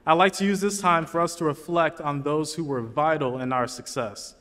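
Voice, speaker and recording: Young man, student graduation speaker, slightly high voice, earnest and conversational, lectern mic in a reverberant hall. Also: fairly even intonation, moderate pace, bright, forceful tone. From Chicago, USA. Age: young adult. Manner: earnest, chatty asides to the crowd. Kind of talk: commencement speech. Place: large auditorium stage. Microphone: lectern microphone.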